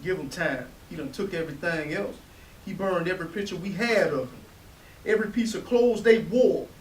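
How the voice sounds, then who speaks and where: High-voiced wounded young man played by an actor, echoey. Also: normal speed, close to an everyday voice. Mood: bitter, hurt. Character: wounded, resentful young man pleading with his father. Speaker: actor in a readers theatre play. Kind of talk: staged play reading. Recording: hissy, echoey stage pickup from far off.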